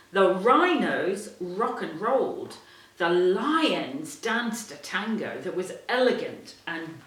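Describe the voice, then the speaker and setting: Very animated woman reading a children's storybook. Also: mid-range voice. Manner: expressive, storytelling. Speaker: primary school teacher reading aloud. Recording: echoey room, distant mic.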